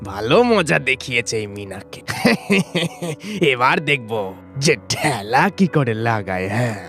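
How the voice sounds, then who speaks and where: A man's voice: mid-range voice, very animated, takes it slowly, fairly bright tone, soft background music, heavily acted. A man voicing a sly, jealous drunkard who gloats, the villain of a moral-story cartoon. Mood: gloating.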